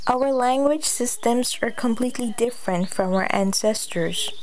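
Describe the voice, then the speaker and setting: A young woman from Guyana reading her personal essay aloud as a student, in an earnest, measured way. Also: on the high side, a little mellow, a little hiss or hum. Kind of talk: read personal essay narration.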